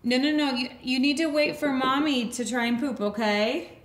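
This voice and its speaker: A woman's voice: high-pitched, steady tone, normal speed, echoey room. A woman in her thirties playing a mother, pleading and coaxing through a locked bathroom door in a comedy sketch. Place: home hallway by bathroom door. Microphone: boom mic.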